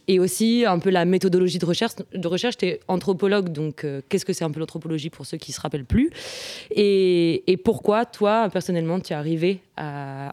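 A woman's voice: fairly low pitch, mellow, no room sound. Hesitant woman moderating a talk. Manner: hesitant, many fillers. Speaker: event moderator.

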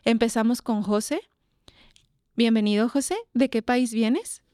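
A woman's voice: fairly high pitch, measured pace, warm tone, no echo, clean studio sound. A Mexican woman presenting a radio programme and interviewing guests in Spanish. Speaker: radio host.

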